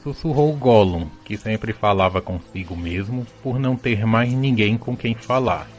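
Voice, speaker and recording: Low-voiced Brazilian male storyteller. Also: measured pace. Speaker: narrator. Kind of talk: scripted storytelling narration for audio drama. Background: music bed.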